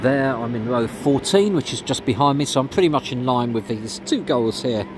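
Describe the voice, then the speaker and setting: A man's voice: medium pitch, animated, moderate pace. Man, casual vlogger, lots of ums, phone-filmed, noisy open-air stadium sound. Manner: chatty with many ums. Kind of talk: casual walking commentary. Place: outdoors and inside a large football stadium. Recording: noisy outdoor stadium recording.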